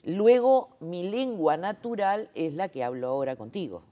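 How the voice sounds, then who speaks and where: A woman's voice: fairly low pitch, animated, moderate pace, dark tone. A woman, interviewed as a sign language interpreter, explaining her views emphatically, with many fillers and rhetorical checks. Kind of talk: conversational interview.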